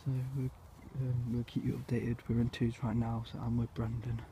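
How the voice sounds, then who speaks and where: A man's voice: medium pitch, monotone, a little fast. A teenage boy vlogging a camping trip outdoors into a handheld phone, rambling with lots of fillers. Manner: rambling, filler-heavy, hushed at night. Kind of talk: casual camping vlog. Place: outdoors, woods by a lake. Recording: phone outdoors with wind noise.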